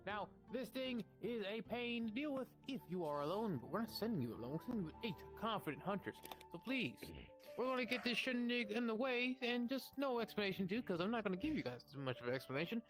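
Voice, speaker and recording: High-voiced man briefing a group, music behind. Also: very animated, fast talker, rough recording. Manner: informal, sweary, addresses a group with questions. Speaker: game master playing a guild quest giver. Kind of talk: improvised roleplay mission briefing in social VR. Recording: loud background music.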